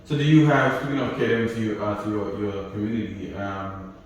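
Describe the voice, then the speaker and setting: A man's voice: lowish voice, steady tone, fairly quick, a little hiss or hum. Nigerian-born male host, echoey far mic. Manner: frequent "okay, okay" interjections. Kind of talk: casual interview conversation.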